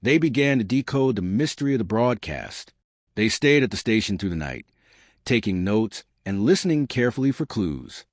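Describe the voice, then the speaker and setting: A man narrating a scripted horror story in a measured, dramatic way. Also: fairly low pitch, very animated, no room sound, studio quality.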